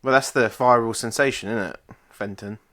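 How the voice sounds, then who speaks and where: A man's voice: medium pitch, normal speed, a bit bright. A young man co-hosting a podcast, chatting in a laid-back, dry way and swearing casually. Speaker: podcast co-host. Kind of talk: casual banter.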